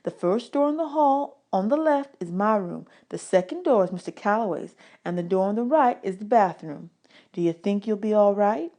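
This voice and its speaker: A woman voicing a kindly grown woman who gently reassures a nervous boy in a children's audiobook. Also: mid-range voice, animated, moderate pace, close to an everyday voice. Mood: reassuring. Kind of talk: children's audiobook character dialogue.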